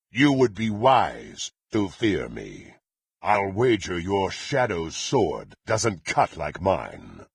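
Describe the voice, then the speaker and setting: An actor playing a menacing, proud and violent blackguard warrior in a fantasy video game, with a gravelly, raspy voice and haughty, threatening, formal delivery. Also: male voice, lowish voice, no echo, studio quality, exaggerated voice. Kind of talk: theatrical fantasy game voice acting.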